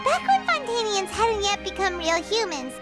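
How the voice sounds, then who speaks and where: An extremely high, childlike squeak with very animated pitch, set over loud music. It is a little fairy companion from a video game. The delivery is chirpy, blunt and full of curiosity. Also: female voice, normal speed. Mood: curious, puzzled. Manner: refers to self in third person, exclaiming, inquisitive. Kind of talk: video game character dialogue.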